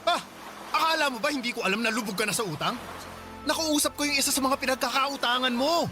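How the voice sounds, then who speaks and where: A man's voice: very high voice, fast talker, loud music behind the voice. A man playing an exasperated, principled husband and protective uncle in a Filipino radio drama, speaking in a firm, reproachful, pleading way with a Filipino accent.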